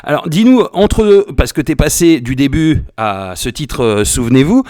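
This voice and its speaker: A man's voice: a little high, animated, normal speed, a little mellow, no room sound, studio quality. A man hosting a web radio interview, upbeat and hesitant, with many fillers. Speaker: radio host.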